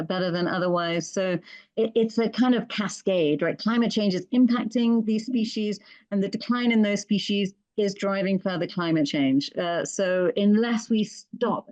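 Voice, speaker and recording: A woman's voice: medium pitch, darkish tone, no room sound, dull audio. A woman with a British accent, answering interview questions as a conservation expert in an earnest, thoughtful way with frequent ums and restarts. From Britain.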